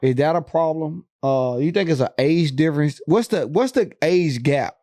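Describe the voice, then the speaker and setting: A man's voice: a little high, expressive, normal speed, dark tone, no echo, clean studio sound. A middle-aged man co-hosting a podcast, talking bluntly in casual slang and leading the questions. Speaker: podcast co-host. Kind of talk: casual chat.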